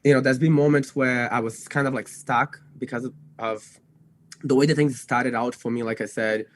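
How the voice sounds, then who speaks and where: A man's voice: mid-range voice, fairly even intonation, normal speed. A young man from Brazil, a native Portuguese speaker, telling his own story casually as a podcast guest, rambling and often correcting himself. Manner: rambling, self-correcting, frequent "you know" and "like". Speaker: podcast guest, dog trainer.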